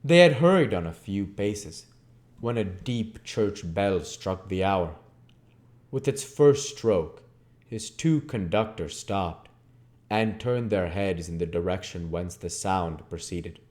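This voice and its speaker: A man's voice: deep voice, animated, takes it slowly, darkish tone. A man reading a classic novel aloud as a dramatic, emphatic narrator. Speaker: audiobook reader.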